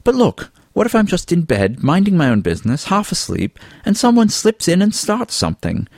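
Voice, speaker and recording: A man's voice: high voice, wildly swooping pitch, normal speed, darkish tone, dry recording, studio quality, pitched above the performer's own voice, heavily acted. A man voicing a vain, flirtatious, theatrical male weasel in an audiobook, haughty and florid in manner. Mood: irritated, defensive. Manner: haughty, florid, wordy, drawling endearments. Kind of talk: audiobook character dialogue.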